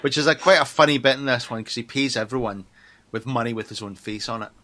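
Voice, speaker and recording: A man's voice: mid-range voice, fast, sharp tone. A man in casual, irreverent banter as a panelist on a film podcast, swearing freely.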